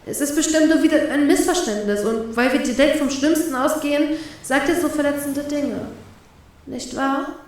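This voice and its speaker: A woman's voice: high voice, normal speed, darkish tone. A woman reading a first-person fan fiction story aloud, voicing a heartbroken inner monologue.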